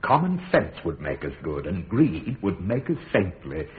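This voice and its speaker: Gentle, expressive father in prison. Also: low-pitched, unhurried. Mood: earnest, reflective. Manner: expressive, reflective. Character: gentle, principled, loving imprisoned father. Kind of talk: radio drama acting. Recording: narrow, noisy radio recording.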